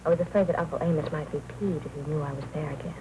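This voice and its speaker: Woman acting a low-voiced, coy noir young woman. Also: steady tone, very fast, mellow, lightly acted. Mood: coy, smooth. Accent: American. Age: young adult. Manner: coy, teasing, pointed. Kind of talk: 1940s film noir acting. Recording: noisy 1940s film soundtrack.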